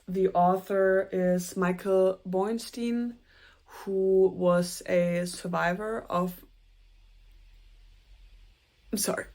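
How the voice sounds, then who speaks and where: A young woman with a German accent, chatting earnestly to camera about books she plans to read, with a few soft, self-conscious laughs. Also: on the low side. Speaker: booktuber. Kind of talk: casual book talk to camera.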